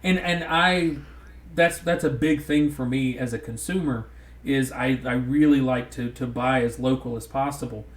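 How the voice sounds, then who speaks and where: A man's voice: medium pitch, normal speed. Southern man, podcast co-host, rambling casual delivery, echoey room. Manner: rambling, many restarts. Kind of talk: casual chat. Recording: roomy echo.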